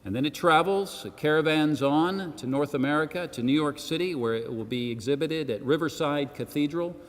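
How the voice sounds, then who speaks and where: Man giving a speech in an echoing hall. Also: a little high. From Senegal. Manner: measured, emphatic oratorical phrasing with rhetorical asides. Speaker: arts organisation president giving a launch speech. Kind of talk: formal public speech. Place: church hall, addressing a gathering. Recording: long echoing reverb of a large hall.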